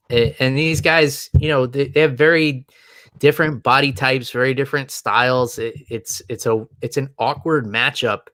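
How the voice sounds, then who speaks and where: A man's voice: mid-range voice, a bit bright, no echo. Guy cohosting a podcast, rambly, lots of ums, dry close sound. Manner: rambling, many fillers and restarts. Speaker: podcast co-host. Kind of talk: casual chat.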